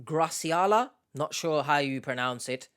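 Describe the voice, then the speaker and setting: A man's voice: fairly high pitch, expressive, a little slow, a bit bright, clear, resonant tone. A young man, hosting a casual Q&A, rambling and joking as he answers viewer questions. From Turkish Cypriot heritage, based in the UK. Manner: jokey, rambling, self-mocking. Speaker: YouTube host.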